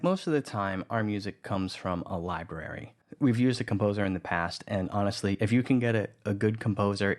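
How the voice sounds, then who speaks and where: A man's voice: on the low side, a little mellow. A man giving an informal, conversational tutorial voice-over, explaining things as a producer. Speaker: audio drama producer, tutorial presenter.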